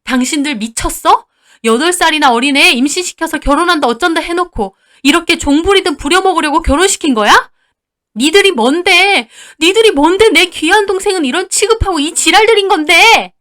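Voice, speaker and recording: A woman voicing a fiercely protective older sister in a dramatized story, shouting and confrontational. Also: extremely high pitch, animated, brisk, voice pushed up. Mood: outraged.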